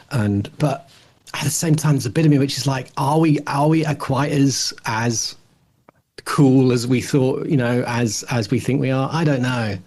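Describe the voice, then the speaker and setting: A man's voice: medium pitch, a little mellow. Rambling middle-aged man, casual podcast chat. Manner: rambling, musing, hedging. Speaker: podcast co-host.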